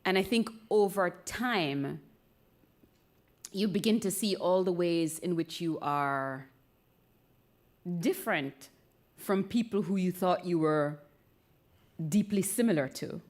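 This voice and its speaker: A woman with a Jamaican accent talking candidly on stage, unhurried, thoughtful and playful. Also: on the low side, darkish tone. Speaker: speaker in a live conversation event. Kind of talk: reflective, candid talk before a live audience.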